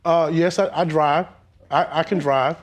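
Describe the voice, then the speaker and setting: A man testifying on a court show, hesitant and defensive, filling pauses with "um". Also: on the high side, fairly even intonation.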